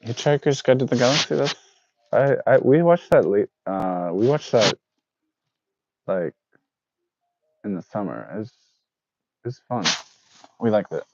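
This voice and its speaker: A man's voice: mid-range voice, lively intonation, measured pace, pauses often, darkish tone, slight background noise. A man in his thirties chatting casually with viewers on a livestream, rambling and correcting himself as he goes. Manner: rambling, self-correcting, reads out chat. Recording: dull lo-fi dry sound.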